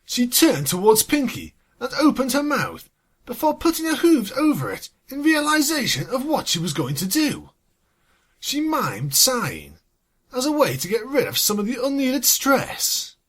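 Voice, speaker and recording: A story reader with a husky voice, sardonic and exasperated, swinging between narration and sweary asides. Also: high-pitched, huge pitch swings, measured pace. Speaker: fan fiction reader and commentator. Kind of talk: scripted story reading with sarcastic asides.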